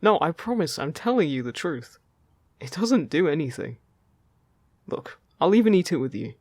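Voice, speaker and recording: A man acts out a playful male fairy in a fantasy audio roleplay, speaking close and dry. His voice is lightly husky with some creak, and he talks in a casual, teasing, reassuring way. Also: on the high side, sing-song, normal speed, a little mellow, no room sound. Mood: cheerful, inviting. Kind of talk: scripted audio roleplay addressed to the listener.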